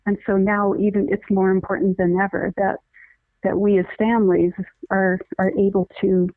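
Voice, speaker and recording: A woman's voice: medium pitch, dry recording. A middle-aged woman, a lawyer and guest, explaining things earnestly in a conversational interview with frequent restarts. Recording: tinny phone-line sound, dry.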